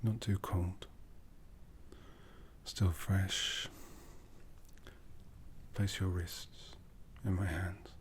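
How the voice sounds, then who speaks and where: Man whispering as a low, slow, brooding vampire lord. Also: little pitch movement, a little hiss or hum. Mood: tender, mournful. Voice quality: soft, breathy half-whisper. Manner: slow, intimate, deliberate, solemn. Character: brooding male vampire lord, tender, possessive mentor. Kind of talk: ASMR roleplay.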